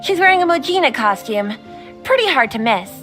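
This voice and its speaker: A courteous, dutiful woman overseer in a video game. Her voice is high and expressive, with formal, composed phrasing. Music runs underneath. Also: a little fast. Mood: mildly exasperated. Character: polite, dutiful female overseer of a young ninja. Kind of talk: video game character dialogue. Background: background music.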